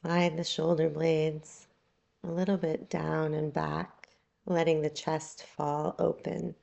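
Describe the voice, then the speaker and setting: Woman, yoga nidra guide, low-pitched, gravelly fry, soothing, unhurried, guided meditation delivery. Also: dull audio. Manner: calm, soothing, unhurried instruction with long pauses.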